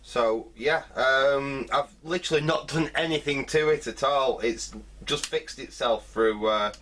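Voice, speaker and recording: A man's voice: medium pitch, unhurried, fairly bright tone, a little hiss or hum. A man giving a casual hands-on repair commentary, thinking aloud hesitantly in fragments. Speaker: host of a vintage electronics repair video.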